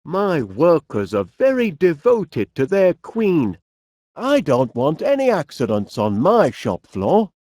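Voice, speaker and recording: A middle-aged, eccentric inventor from a cartoon game with a Northern English accent. His delivery swoops up and down at an unhurried pace. He is chirpy and quaintly polite, with a bit of dithering, recorded close and dry. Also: a little high, huge pitch swings, warm tone, dry recording, full character voice. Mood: cheerful. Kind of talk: cartoon video game voice acting.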